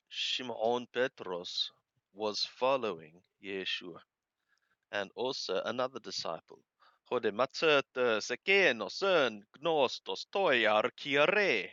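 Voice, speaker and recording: Slow, clear-voiced man reading scripture. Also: on the low side, animated, sharp tone, dry recording, highs cut off. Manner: deliberate, halting, careful enunciation. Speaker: Bible reader and translator. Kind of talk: reading Greek scripture aloud and translating phrase by phrase with commentary.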